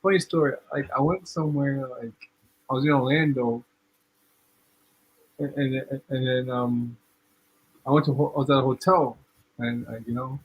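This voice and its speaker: A man's voice: mid-range voice, steady tone, normal speed, pauses often, breathy edge, muffled recording. A man from Ghana co-hosting a podcast, telling a story in a chatty way with constant checks on the listener. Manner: chatty, repeats "you know what I'm saying". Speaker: podcast co-host. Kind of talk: casual storytelling.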